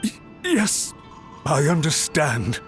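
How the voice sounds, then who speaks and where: A flustered, deferential official from a fantasy game, with a slightly high, very animated voice. He is formal and apologetic, cowed and anxious, with music playing behind. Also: slow. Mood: cowed, grateful. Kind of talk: video game voice acting.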